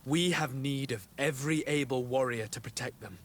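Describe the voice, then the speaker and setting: A man playing an earnest, courteous noble diplomat in a video game cutscene, speaking formally and in measured phrases. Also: mid-range voice, slight background noise, natural voice. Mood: formal, concerned. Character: earnest, courteous young noble diplomat, burdened by family betrayal.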